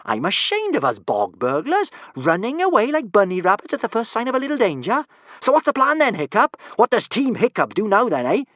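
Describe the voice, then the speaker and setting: A fearless young girl talks breezily and boldly, as a man reading a storybook puts her on. Her voice sits high and loud and bounces about. It is recorded dry and close. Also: huge pitch swings, a little fast, no echo, full character voice. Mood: scornful. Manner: breezy, eager. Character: bold fearless girl, breezy, sword-swinging.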